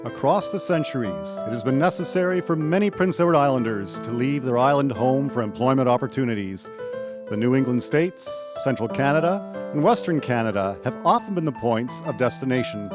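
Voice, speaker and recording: A man's voice: mid-range voice, expressive, fairly quick. A man giving scripted historical narration for a radio drama. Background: faint music.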